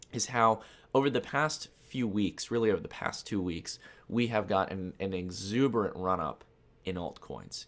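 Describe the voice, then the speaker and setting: A young man with a stuffed-up nose, hosting casual market commentary in a chatty way full of repeated filler phrases. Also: deep voice. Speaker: crypto market channel host.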